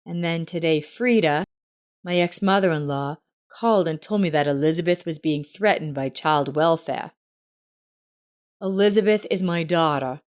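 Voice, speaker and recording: A woman's voice: low-pitched, moderate pace, natural voice. A woman voicing a remorseful, anxious husband in a narrated family drama story. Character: remorseful, anxious husband confessing to his wife.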